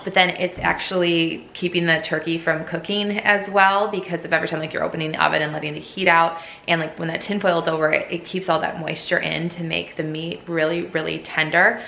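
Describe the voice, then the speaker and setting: A woman's voice: lowish voice, flat delivery, a little fast, gravelly, few pauses, pushed and bright. A woman vlogging casually while she cooks in her home kitchen, chatting with lots of fillers. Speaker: homemaking vlogger. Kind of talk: casual cooking vlog chat. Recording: echoey kitchen, faint room noise.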